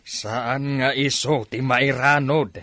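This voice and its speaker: A man's voice: mid-range voice, steady tone, slow pace, sharp tone, no room sound, clean studio sound. A man playing an older father who longs for a grandchild in an Ilocano radio drama. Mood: concerned, insistent.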